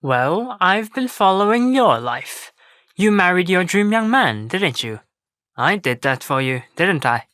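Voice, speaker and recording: A woman acts a proud, meddling flower fairy from a stage play. The voice is lowish and moves widely in pitch, assured and matter-of-fact, in a dry recording. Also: sing-song, unhurried, a bit bright, no room sound.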